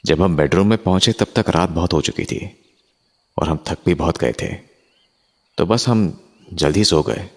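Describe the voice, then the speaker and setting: A man's voice: low voice, measured pace, long pauses. An actor playing an easygoing, dismissive husband and young father in a horror audio drama, speaking colloquially and telling the story. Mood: hushed storytelling. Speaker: actor and first-person narrator. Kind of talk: Hindi horror audio drama, acted dialogue and narration.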